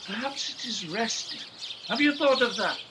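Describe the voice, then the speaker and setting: An actor playing a genial but secretive professor in a radio play, with a courteous, theatrical and halting delivery. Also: male voice, very high voice, animated, background noise. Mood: polite. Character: odd, genial bird-shop professor secretly supplying saboteurs with bombs.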